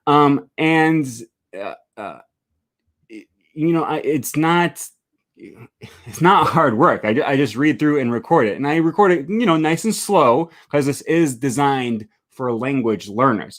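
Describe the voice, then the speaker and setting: English-speaking guy talking through his screen, loose and rambly, keeps restarting. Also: mid-range voice. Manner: casual, rambling, self-correcting. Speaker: language teacher. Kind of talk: screen-share walkthrough.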